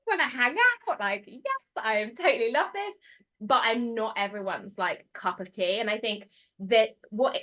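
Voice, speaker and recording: An expressive British woman chatting as a podcast guest, her voice sitting at a middle pitch. She talks with animated energy and quick, bright bursts of speech. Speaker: podcast guest, transformation mentor. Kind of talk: casual podcast conversation.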